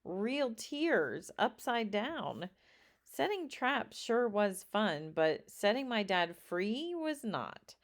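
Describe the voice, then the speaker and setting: A woman reading a children's story aloud expressively, with dramatic drawn-out emphasis. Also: mid-range voice, big pitch swings. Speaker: storybook reader.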